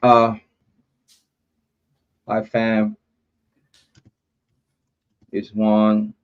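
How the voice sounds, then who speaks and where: Slow-talking man hosting a livestream. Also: lowish voice, monotone, clear, resonant tone, narrow, tinny audio. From Puerto Rico. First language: Spanish. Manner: rambling, chatty, drawn-out with fillers and cursing. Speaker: livestream host. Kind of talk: casual livestream chat.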